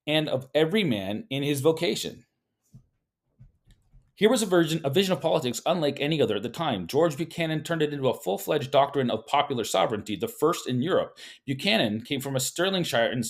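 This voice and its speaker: A man with a mid-range voice reading a history book aloud at an even pace. He trips over a word now and then and repeats it, then drifts into relaxed off-script comments before going back to the text. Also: mid-range voice. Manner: reads steadily, stumbles and repeats words, breaks off into chatty asides. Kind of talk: reading aloud with casual asides.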